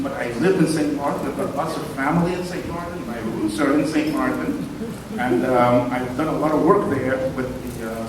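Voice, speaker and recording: A man giving a rambling, self-deprecating speech at a brunch event, full of ums and asides. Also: medium pitch. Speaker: event speaker. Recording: echoey hall from far off, noisy.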